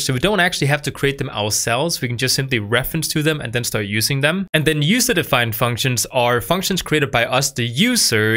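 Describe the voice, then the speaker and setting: Bright-voiced young man teaching code. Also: mid-range voice. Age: 20s. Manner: patient, repeats himself for beginners. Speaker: programming tutor. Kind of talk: explaining code to camera. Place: dark studio, indoors. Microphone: studio mic on boom arm.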